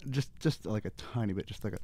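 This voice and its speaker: A man who plays a tabletop game, casually describing his actions as he thinks aloud in a halting way. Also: on the low side, creaky, dark tone.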